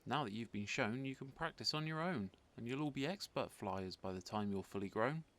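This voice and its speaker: A man's voice: mid-range voice, lively intonation, a little fast, fairly bright tone, lightly acted. A man voicing a bossy but encouraging female dragon schoolteacher in a storybook, with a commanding, declamatory manner. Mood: instructing.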